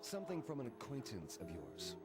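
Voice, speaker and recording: A man's voice: medium pitch, huge pitch swings, brisk. A man voicing a nervous, bewildered young man in a video game cutscene, stammering and hesitant. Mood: uneasy, hesitant. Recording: music under the voice.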